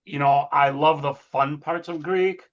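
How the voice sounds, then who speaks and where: A man's voice: fairly high pitch, steady tone, unhurried, highs cut off. A man giving his opinions in a casual podcast chat, with hedges and fillers. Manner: opinionated, hedging, many fillers. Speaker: podcast host.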